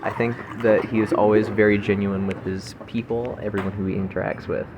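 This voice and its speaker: Male podcast co-host, lowish voice, opinionated and mock-earnest, over clear restaurant noise. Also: level tone, brisk. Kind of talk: comedic podcast banter. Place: local restaurant. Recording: clearly noisy restaurant background.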